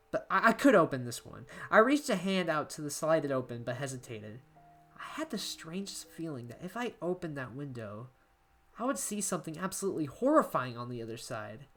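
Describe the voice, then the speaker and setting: Anxious, paranoid shut-in narrating a horror journal, played by a woman; deep register, very expressive, halting delivery, music underneath. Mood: uneasy, restless. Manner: halting, emotive, with stammered repeats. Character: anxious isolated diarist unravelling into paranoia. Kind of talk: dramatic first-person horror story narration.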